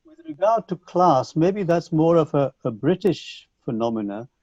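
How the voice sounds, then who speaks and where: A man whose first language is Punjabi, giving an online lecture to teachers in a measured, reflective way with frequent restarts, heard over a video call. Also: on the high side, sing-song, darkish tone, no echo. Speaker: conference speaker. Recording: dull lo-fi video call audio.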